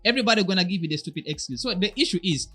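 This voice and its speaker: A man's voice: sits high, expressive, very fast, pushed and bright, soft background music. A man in casual podcast talk, often agreeing and chiming in with short remarks. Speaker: podcast co-host. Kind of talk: casual philosophical discussion.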